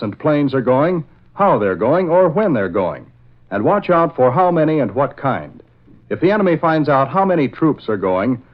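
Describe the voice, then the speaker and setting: A male announcer narrating a 1940s radio show in a dramatic, emphatic, scripted style. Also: medium pitch. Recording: dull lo-fi vintage radio recording.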